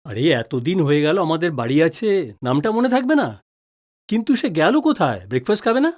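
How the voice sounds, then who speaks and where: A man's voice: a little high, sing-song, normal speed, a little mellow. An actor playing a patient, reassuring middle-aged father in an audio drama, speaking in an avuncular, advising way. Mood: teasing, defensive.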